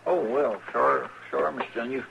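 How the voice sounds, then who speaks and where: An actor playing a folksy, deferential deputy in an old radio western, rambling and apologetic in an American drawl. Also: male voice, mid-range voice, moderate pace, creaky. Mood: sheepish. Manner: rambling, apologetic, eager to please. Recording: noisy, muffled old radio recording.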